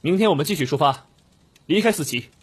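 A man's voice: a little high, expressive, quick pace, husky, voice pushed up. A man voicing a weary, frank young wandering cultivator who speaks firmly and plainly in an audiobook. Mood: decisive. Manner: plain-spoken, firm.

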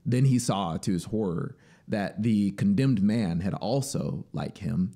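A man in his thirties reading a story as dramatic, deliberate, expressive audiobook narration, close on the mic. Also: fairly low pitch. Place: small home music studio, indoors. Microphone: broadcast mic on boom arm, close. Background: ambient synth soundscape noise.

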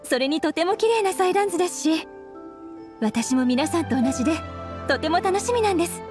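A woman's voice: very high voice, lively intonation, fairly quick. A woman voicing a polite, welcoming young female shopkeeper in scripted game dialogue. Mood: polite, eager. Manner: polite customer-service speech. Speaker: game voice actor. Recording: studio voice over game music.

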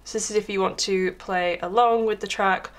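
Hoarse woman teaching piano. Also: medium pitch, fairly even intonation, normal speed. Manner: chatty, explains step by step with filler words. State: hoarse from being under the weather. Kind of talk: casual tutorial explanation. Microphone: camera microphone with crackle. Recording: crackling camera mic with piano playing. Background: piano playing.

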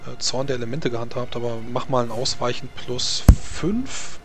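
A man's voice: mid-range voice, moderate pace, fairly bright tone. A man narrating a tabletop game as game master, often hesitating and repeating words as he rules. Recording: music playing behind the voice. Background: background music.

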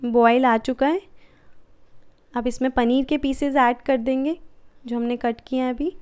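A woman hosting a cooking channel, giving calm, friendly step-by-step recipe instructions in a voice-over. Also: sits high, measured pace, clear, resonant tone.

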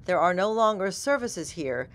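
Low-pitched American female news anchor. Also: lively intonation, normal speed. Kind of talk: scripted news narration.

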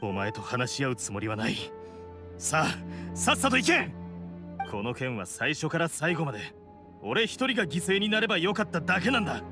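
A proud young oni in an anime game drama, stern and commanding. The voice is fairly high for a man and moves widely in pitch with intense feeling. Clear dramatic music sits behind it. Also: very animated, moderate pace. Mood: threatening.

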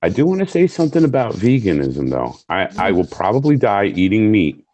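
A man's voice: on the low side, big pitch swings, a little fast, warm tone, highs cut off. A middle-aged man from New York State, a guest on a video call through earphones, candid and jokey. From Orange County, New York. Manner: jokey, candid, laughs easily. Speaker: guest, firefighter. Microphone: earphone headset on video call.

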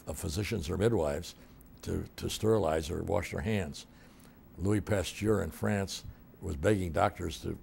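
A very deep, gravelly voice from a man in his eighties, reminiscing at length in an office interview. He rambles, restarts and fills pauses as he goes, with a faint hiss of room noise behind him. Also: a little mellow. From Detroit, Michigan. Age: 80s. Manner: reflective storytelling with frequent fillers and restarts. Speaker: interviewee, former state attorney general. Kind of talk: oral history interview. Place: office with bookshelves, indoors.

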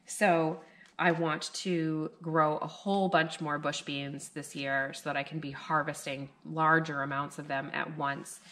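A woman's voice: sits low, fairly bright tone. A woman in her thirties chatting to the camera at home at night about her garden seeds, rambling along with plenty of fillers. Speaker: homestead gardening vlogger. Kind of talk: casual chat to camera. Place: living room at night, indoors.

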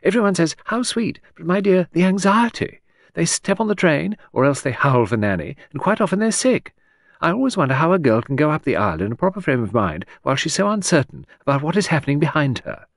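A man's voice: on the high side, big pitch swings, brisk, no room sound, studio quality, pitched above the performer's own voice. A man voicing a vague, rambling aristocratic older woman in an audiobook, with breathless, trilling delivery. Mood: chattering. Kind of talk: audiobook character voice.

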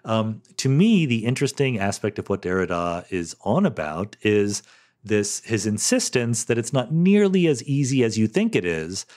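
Middle-aged male podcast host, lowish voice, solo Q&A, explains patiently with "um" and "right?" checks. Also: very animated, moderate pace, a little mellow. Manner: thoughtful, explanatory, sprinkles "um" and "right?". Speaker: podcast host answering listener questions. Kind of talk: solo question-and-answer monologue.

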